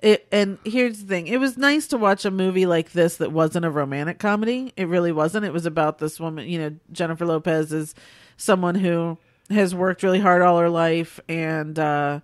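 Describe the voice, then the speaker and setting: A woman's voice: fairly low pitch, moderate pace, dry recording, clean studio sound. A sick woman with a nasal, congested voice, co-hosting a casual podcast and rambling chattily through movie reviews. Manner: chatty, rambling, laughs often. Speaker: podcast co-host.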